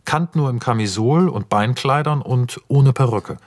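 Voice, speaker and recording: A man's voice: medium pitch, unhurried, no room sound. A man narrating scenes and stage directions for a radio play in a precise, matter-of-fact way.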